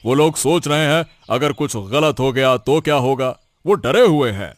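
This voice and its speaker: A man voicing a cautious village friend, lively pitch. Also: moderate pace, no room sound, natural voice. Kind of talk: cartoon story voice acting.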